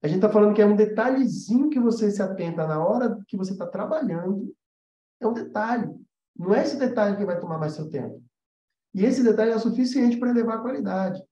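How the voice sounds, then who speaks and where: A man's voice: high voice, animated, fairly quick, mellow. A Brazilian man giving an informal live lesson as a teacher, conversational and emphatic, repeating key points and checking that listeners follow. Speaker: animation teacher hosting a live class. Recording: roomy echo, slightly dull sound.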